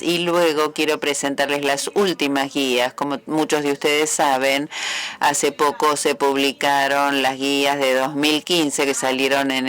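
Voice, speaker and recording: Flat, smooth-voiced male interpreter. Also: fairly high pitch. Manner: steady, even, fluent delivery with "este" fillers. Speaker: interpreter for the lecturer. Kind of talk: consecutive interpretation of a medical lecture.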